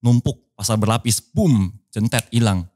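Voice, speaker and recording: A man's voice: mid-range voice, warm tone. A young man from Medan with a Chinese Medan accent, telling stories playfully as a podcast guest in a small studio. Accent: Chinese Medan Indonesian. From Medan, Indonesia. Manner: quick, playful, jokey storyteller. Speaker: guest musician and YouTuber. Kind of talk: casual podcast chat. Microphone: studio mic on a stand, with headphones.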